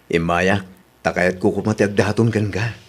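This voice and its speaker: A man's voice: low-pitched, steady tone, normal speed, husky. A man acting a vain, easily wounded young suitor in a radio drama. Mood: hopeful.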